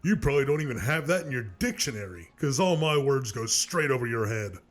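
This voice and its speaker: A defensive, argumentative cartoon loudmouth acted by a man with a chip on his shoulder. His mid-pitched voice moves up and down a lot and sounds whiny and indignant. Faint music plays underneath. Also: lively intonation, a little fast, lightly acted. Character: aggrieved, defensive male loudmouth in a feud. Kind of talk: scripted comic argument in web animation.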